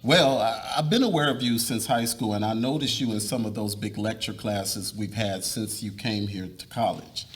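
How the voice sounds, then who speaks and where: A man's voice: on the low side, moderate pace, few pauses, natural voice. A man reading the part of an earnest, polite young college student in a dramatic reading of a novel.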